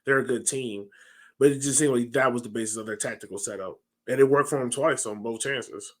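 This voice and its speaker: A man's voice: mid-range voice, a little fast, fairly bright tone. An American man hosting a sports podcast, chatting casually on a headset over a video call. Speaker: podcast host. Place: small home room, indoors.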